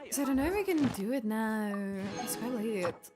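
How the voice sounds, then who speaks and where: A woman's voice: on the high side, expressive, moderate pace, over music. A woman streaming a video game, giving casual reaction commentary in a rambling way, often trailing off mid-thought.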